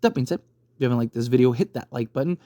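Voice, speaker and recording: An online commentator with a mid-pitched voice, recorded close and very dry. The delivery is a casual, opinionated rant, emphatic and conversational, punctuated by rhetorical check-ins. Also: quick pace, warm tone, dry recording. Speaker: YouTube host giving film commentary.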